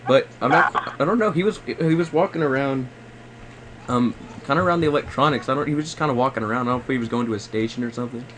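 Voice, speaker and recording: A man's voice: mid-range voice, quick pace. A man making a polite phone complaint, hesitant and repetitive, circling back over his words. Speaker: prank caller posing as a customer. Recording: faint background noise on recorded call.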